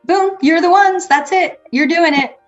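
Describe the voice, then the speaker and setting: A woman's voice: very high voice, measured pace, highs cut off, faint music behind. A woman interviewer who reacts with enthusiasm and encourages her guest. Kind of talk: conversational interview.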